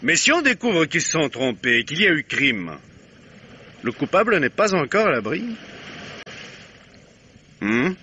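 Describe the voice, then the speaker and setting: Wily inspector, lively intonation, ironic and patient, old radio play. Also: male voice, on the high side, measured pace, long pauses, dull audio, slight background noise, full character voice. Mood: probing. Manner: probing, dryly ironic questioning. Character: shrewd, patient male police inspector. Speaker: police inspector. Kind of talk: 1950s radio drama acting.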